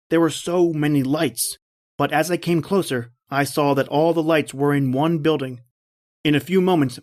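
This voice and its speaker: A man's voice: fairly high pitch, lightly acted. A man playing a shipwrecked big-game hunter, polite and observant, in earnest first-person radio drama style.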